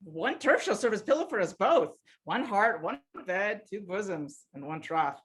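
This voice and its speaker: A woman's voice: medium pitch, animated, normal speed, a bit bright, natural voice. Woman playing an earnest young male lover. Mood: tender. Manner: declamatory verse delivery. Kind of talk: Shakespearean stage acting.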